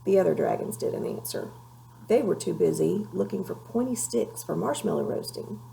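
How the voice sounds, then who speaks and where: A woman reading a children's storybook aloud in an expressive, measured way, pausing for dramatic effect. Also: mid-range voice, creaky, slight background noise, rough recording. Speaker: storybook reader.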